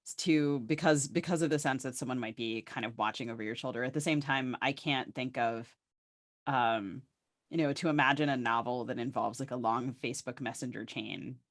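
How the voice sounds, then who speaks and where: A woman's voice: extremely low pitch, a little fast. A woman co-hosting a literary podcast, conversational and thoughtful, often searching for her words. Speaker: podcast co-host.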